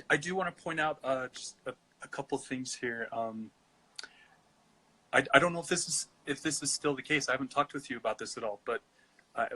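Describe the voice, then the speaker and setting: An American man hosting a casual interview, encouraging and a little halting in his phrasing. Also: medium pitch, sharp tone, low-quality recording. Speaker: show host, interviewer. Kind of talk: casual chat.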